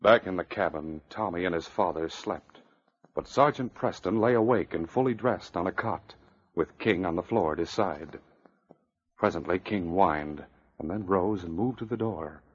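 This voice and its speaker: A man's voice: low voice, moderate pace, slightly rough. A man narrating an adventure serial in an earnest, suspenseful, declamatory old radio style. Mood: grave suspense. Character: earnest male storyteller of a northern adventure serial. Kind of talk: dramatic 1940s-50s radio narration. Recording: dull, hissy vintage radio recording.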